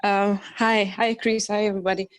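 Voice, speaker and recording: A woman from Tanzania giving an earnest, deliberate comment on a video call. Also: medium pitch, flat delivery. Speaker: chamber member commenting. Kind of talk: casual online comment.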